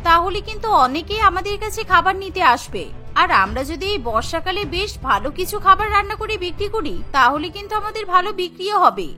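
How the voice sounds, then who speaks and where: A woman plays a young daughter-in-law in a cartoon, caring and earnest. Her voice is very high-pitched and bright. There is soft music behind her. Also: big pitch swings, fast talker, exaggerated voice. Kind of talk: cartoon voice acting.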